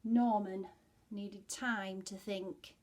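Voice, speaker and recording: A woman reading a storybook to young children as a teacher would, expressively, with hushed, chatty asides to her listeners. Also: medium pitch, steady tone, very slow.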